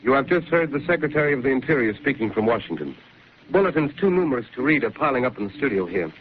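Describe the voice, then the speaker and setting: A man's voice: medium pitch, sing-song, close to an everyday voice. An urgent radio announcer, played by a man, races through grave bulletins. The voice is thin and tinny, like an old 1930s broadcast with faint hiss underneath. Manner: rapid, urgent news delivery. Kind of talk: 1930s live radio drama.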